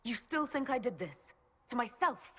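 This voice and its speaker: A shaken young-sounding captive in a fantasy game, with a fairly high female voice. She is hesitant and earnest, questioning what she hears, in a long reverberant space. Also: sing-song, normal speed, fairly bright tone. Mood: wary, earnest. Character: bewildered captive woman, shaken but earnest and resolute. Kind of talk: dramatic fantasy video game acting.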